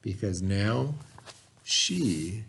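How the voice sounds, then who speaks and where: A man reading a rhyming children's story aloud in a theatrical, expressive way, with dramatic pauses. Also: lowish voice, vocal fry, slight background noise. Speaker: storybook reader.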